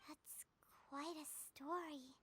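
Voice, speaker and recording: An actress voicing a small, innocent, childlike fairy companion in a video game, with a squeaky voice and simple, puzzled way of talking. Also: female voice, steady tone, takes it slowly, a bit bright, slight background noise. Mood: puzzled, subdued. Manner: simple, refers to self in third person. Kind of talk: cartoonish video game dialogue.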